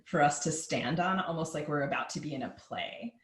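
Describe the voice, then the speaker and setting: A woman co-hosting a podcast, talking casually and informally in a halting, conversational way. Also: deep voice. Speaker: podcast co-host. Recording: roomy, echoing sound.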